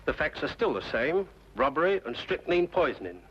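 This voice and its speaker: Bright, dutiful police sergeant. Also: male voice, a little high, very animated, a little fast, husky, full character voice. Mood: dutiful, respectful. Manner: respectful, formal, reporting. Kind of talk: old-time radio drama acting. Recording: dull old radio recording, faint noise.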